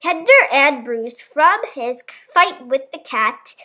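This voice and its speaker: High, animated woman storyteller. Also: slow, slight background noise. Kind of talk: expressive children's story read-aloud.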